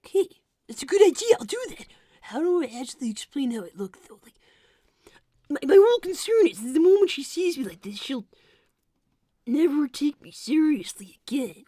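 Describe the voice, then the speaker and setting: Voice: high-pitched, sing-song, moderate pace, mellow, dry recording, clean studio sound. A performer voicing a worried, earnest young boy fox in an improvised cartoon livestream, speaking hesitantly. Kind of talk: improvised in-character livestream chat.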